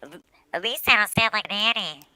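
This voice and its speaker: A woman co-hosting a casual live show, animated and blunt. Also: medium pitch, a little fast, a crowd in the background, low-quality recording. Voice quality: slightly nasal. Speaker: co-host.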